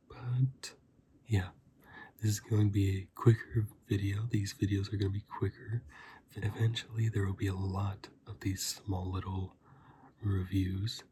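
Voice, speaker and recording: A man hosting a casual ASMR review, speaking softly and breathily in an unhurried, rambling way, often dropping into a whisper. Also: on the low side, noisy background. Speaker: host of an ASMR review channel. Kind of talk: soft-spoken ASMR film review.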